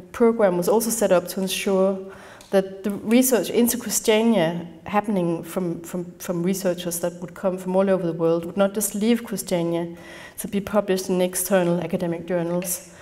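A woman from Denmark with a Danish accent, giving an academic conference talk in a measured manner, partly reading from a prepared text. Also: medium pitch, fairly even intonation, warm tone. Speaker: conference speaker. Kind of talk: academic lecture. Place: large darkened lecture hall.

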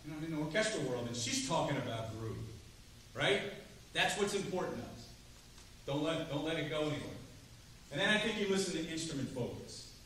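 A middle-aged man giving a drum clinic to students, chatty and lively, asking the room questions. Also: on the high side, fairly quick, pauses often. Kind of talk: percussion clinic talk to students. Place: large rehearsal hall, standing before seated students. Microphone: far camera, no mic. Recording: roomy hall from far off, faint noise.

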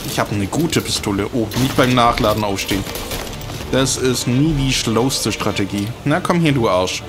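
A man's voice: mid-range voice, normal speed, over music. A man giving casual, dry, joking commentary as he plays a video game. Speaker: let's player commenting on gameplay.

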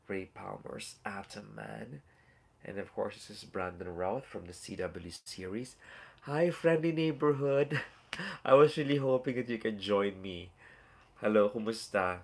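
Chatty stuffed-up man with a gravelly voice. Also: on the low side, measured pace. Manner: rambling and chatty, full of fillers and asides to viewers. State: stuffed up, nasal. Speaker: toy collector hosting a live unboxing. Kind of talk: casual live-stream chat. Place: small home room with a collection shelf.

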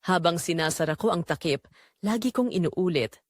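A woman playing a poor, devoted mother burdened by guilt, narrating an audio drama in an earnest, measured, confessional way. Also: lowish voice, lively intonation, dry recording, clean studio sound. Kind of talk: scripted dramatic first-person narration.

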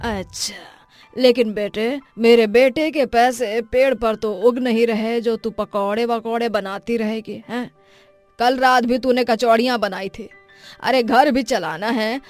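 Cartoon elderly mother-in-law female actor, bossy, fussing, high-pitched, unhurried, music underneath. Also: steady tone, lightly acted. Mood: scolding.

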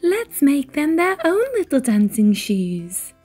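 Woman acting a shoemaker's wife, storybook, kindly, earnest, high-pitched, music underneath. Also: big pitch swings, slow, dark tone, full character voice. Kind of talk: children's storybook character voice.

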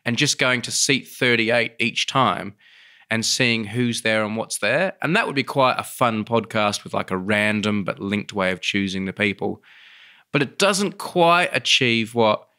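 Bright, lowish man asking questions. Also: unhurried. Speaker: podcast co-host. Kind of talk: casual chat.

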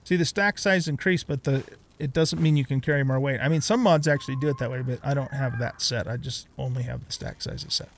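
A man's voice: medium pitch, a little fast, slight background noise. A middle-aged man giving casual let's play commentary, rambling as he thinks aloud.

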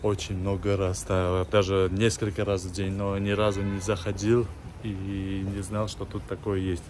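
A man's voice: low-pitched, monotone, normal speed, a little mellow. A man from Armenia chatting casually for a travel vlog as he walks around and points out what he sees. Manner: chatty, narrates what he sees while walking. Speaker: vlogger. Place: outdoors on a noisy city street. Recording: street noise behind.

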